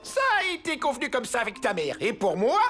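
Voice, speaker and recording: High, bossy cartoon tutor. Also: female voice, very animated, brisk, over music. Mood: greedy. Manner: exclamatory, bossy. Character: greedy, bossy, shameless retired school-principal tutor. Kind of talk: French animated cartoon dubbing.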